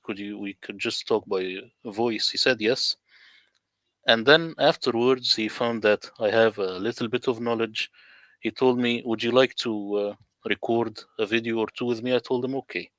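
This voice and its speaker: A man from the Middle East who speaks Arabic natively, talking as a guest over a video call in a deliberate, emphatic, lecturing way. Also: mid-range voice, a bit bright, dry recording. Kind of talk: casual livestream conversation.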